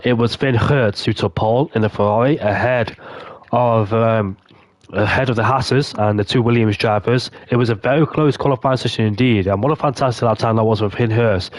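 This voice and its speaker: A man commentating a sim racing session, excitable and losing his voice, hoarse. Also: no echo. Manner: excitable, rises sharply in pitch at key moments. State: voice going hoarse. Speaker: lead commentator.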